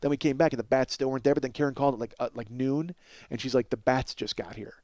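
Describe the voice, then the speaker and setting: A man in middle age delivers a casual comedic monologue as a podcast host, at a medium pitch in a dry, echo-free recording. He is profane and self-deprecating, keeps wandering off on digressions, and gets excitable as he exaggerates for laughs. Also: quick pace. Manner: exaggerates for comic effect, excitable.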